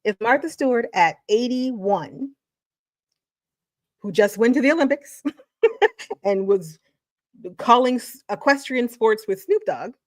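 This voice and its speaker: A woman's voice: fairly high pitch, lively intonation, takes it slowly, long pauses, no echo, studio quality. Emphatic woman, motivational commentary. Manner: emphatic, repeats phrases for effect. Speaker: educator and channel host.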